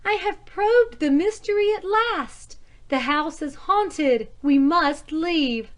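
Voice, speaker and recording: A woman's voice: extremely high pitch, expressive, slow, pitched above the performer's own voice, full character voice. A woman voicing a nervous, dramatic wife and mother in a storybook ghost tale. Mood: alarmed, decisive.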